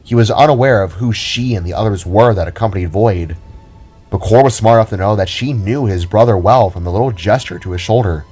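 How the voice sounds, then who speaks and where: A man's voice: on the low side, brisk, a little mellow, slightly rough, faint music behind. A man narrating a scripted audio drama in a dramatic storytelling style.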